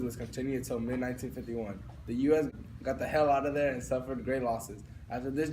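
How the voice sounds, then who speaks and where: A young man delivers a scripted news report at a middle pitch. He sounds some way from the microphone, with clear noise around him. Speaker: news correspondent. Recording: distant mic with clear background noise.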